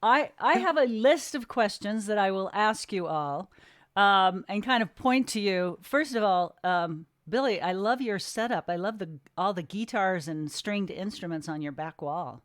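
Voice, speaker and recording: A woman hosting an interview in a casual, chatty way, with an informal, halting delivery on a close mic. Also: mid-range voice, animated, no echo. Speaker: interview host.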